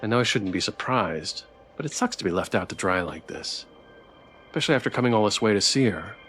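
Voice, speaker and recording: A man's voice: on the low side, expressive, fairly quick, a bit bright, soft background music. A man streaming a visual novel, reading its text aloud with playful, theatrical commentary and joking asides.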